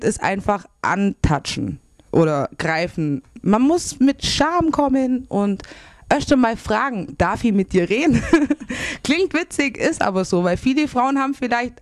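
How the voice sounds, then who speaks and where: A woman in her twenties, a musician answering interview questions, relaxed and laughing often. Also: fairly high pitch, dry recording, clean studio sound. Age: 20s.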